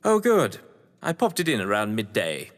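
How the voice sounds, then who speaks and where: A man's voice: lowish voice, huge pitch swings, moderate pace. An actor playing a jovial, theatrical English crime novelist at his manor, genteel and effusive, in an audio drama. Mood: effusive welcome. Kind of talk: stage thriller audio drama acting. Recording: long reverberant hall echo.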